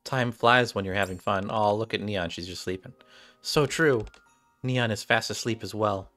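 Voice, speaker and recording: A man's voice: lowish voice, moderate pace, fairly bright tone. A man in his thirties streaming a game and reading its dialogue aloud in a room at home. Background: game music, keyboard typing.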